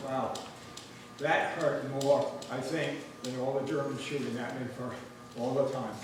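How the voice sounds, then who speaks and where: Older male veteran, halting and soft-trailing, distant in an echoing hall with faint noise. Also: fairly even intonation. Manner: halting, repeating words, voice dropping low. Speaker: veteran speaker. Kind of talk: personal war memories at a ceremony. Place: grange hall. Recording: echoey hall, voice from far off.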